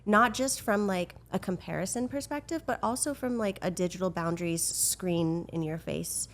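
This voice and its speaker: A woman's voice: on the low side, normal speed, slight background noise. A woman co-hosting an interview podcast, speaking earnestly in casual chat with frequent fillers. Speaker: podcast co-host, beauty director.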